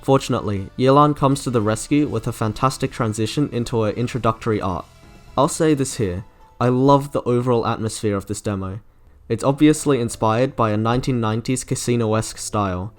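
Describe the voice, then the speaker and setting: A man reading a scripted video review as its narrator. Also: on the low side. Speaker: video essay host ranking game trailers.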